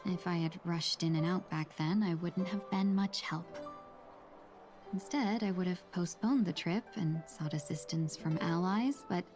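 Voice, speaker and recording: Actress doing a polite young fox merchant woman, game character, lower voice, measured n wistful, music loud behind. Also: close to an everyday voice. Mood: composed, resolute. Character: composed, silver-tongued female Foxian merchant, young adult. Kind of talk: scripted video game voice acting.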